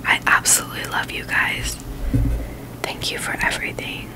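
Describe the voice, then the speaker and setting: A woman whispering in a breathy, unvoiced voice, chatting casually and haltingly in a gentle ASMR style. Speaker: ASMR video host.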